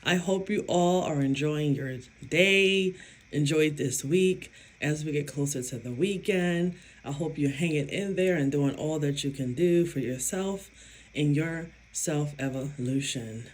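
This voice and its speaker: A woman vlogger and psychic medium talking casually to her viewers, with a hoarse, raspy voice and an emphatic, self-assured way of speaking. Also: sits low. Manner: slow, emphatic, self-affirming, laughs often. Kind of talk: casual talk to camera.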